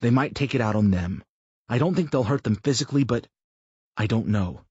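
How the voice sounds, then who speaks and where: A weary, earnest father in an audiobook, voiced by a man. He pleads softly, with a husky, lively voice. The recording is dull and dry. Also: mid-range voice, brisk, no room sound. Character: troubled, earnest middle-aged father.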